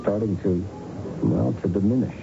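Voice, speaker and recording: A man's voice: lowish voice, normal speed, vocal fry. An actor playing a guilty, nervous, irritable man in a radio drama, speaking in a hesitant, edgy stammer. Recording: muffled old radio broadcast, music behind.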